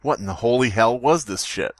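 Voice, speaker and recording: A man's voice: medium pitch, animated, a little fast, no room sound. A man hosting a comedy podcast, bantering and reading a story aloud for laughs. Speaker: podcast host.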